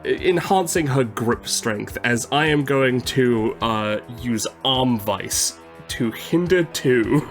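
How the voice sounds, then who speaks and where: A man narrating his character's moves as a tabletop game player, in deliberate, halting phrases pieced together. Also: mid-range voice, animated, faint music behind. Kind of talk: casual improvised narration of own character's moves.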